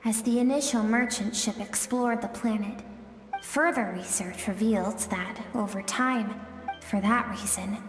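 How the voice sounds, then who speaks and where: Woman as a slow, mysterious storyteller. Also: a little high, steady tone, close to an everyday voice. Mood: wistful, solemn. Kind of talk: scripted game narration. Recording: echoey, long reverb, music behind. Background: game music.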